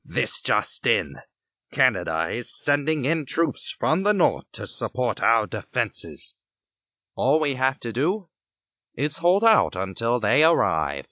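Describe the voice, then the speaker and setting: A man's voice: medium pitch, big pitch swings, slow pace, noisy background. A man playing an urgent, patriotic war-time radio announcer reading theatrical scripted news bulletins. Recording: dull band-limited radio broadcast sound.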